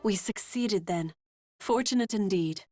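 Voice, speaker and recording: An actress voicing a reserved, solemn young noblewoman with a formal, measured manner in a video game. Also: medium pitch, dark tone, close to an everyday voice. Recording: music behind the voice.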